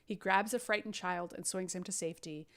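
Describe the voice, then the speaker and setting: A woman hosting a table read, narrating stage directions in an animated way with sarcastic, jokey, sweary asides. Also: on the low side, a little fast, gravelly. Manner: jokey, swearing, adds silly sound effects.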